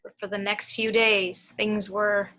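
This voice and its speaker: A woman playing a haunted storyteller, grave and hushed, recalling a sinister childhood in a table read. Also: medium pitch, little pitch movement, unhurried, low-quality recording. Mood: reflective. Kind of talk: dramatic monologue in amateur table read.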